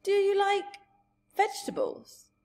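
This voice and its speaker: A woman's voice: very high-pitched, wildly swooping pitch, a little slow, a little mellow, pitched above the performer's own voice, heavily acted. A woman voicing a polite, wary young girl who asks questions in a storybook tale. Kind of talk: storybook character voice.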